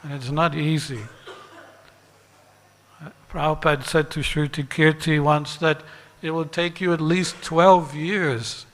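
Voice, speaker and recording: Slow-paced man preaching in a reverberant hall. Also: a little high, steady tone. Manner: deliberate, pausing and repeating phrases for emphasis. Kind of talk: sermon with parables and rhetorical questions. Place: large reverberant temple hall.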